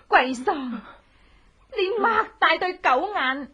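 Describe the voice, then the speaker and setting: An actress playing a cruel, gloating widow out for revenge in a Cantonese radio horror drama, with a theatrical, taunting delivery and a Hong Kong accent. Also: female voice, very high voice, animated, takes it slowly, pushed and bright, dull audio, exaggerated voice. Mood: menacing, taunting. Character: vengeful widow, cruel and gloating, torturing her husband's killer.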